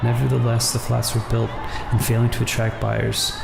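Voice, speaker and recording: A man reading noir visual novel text aloud in a measured, deliberate storytelling way. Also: medium pitch, completely flat delivery, vocal fry, a crowd in the background. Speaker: gaming video host. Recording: roomy echo under loud music. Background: loud game music with guitar.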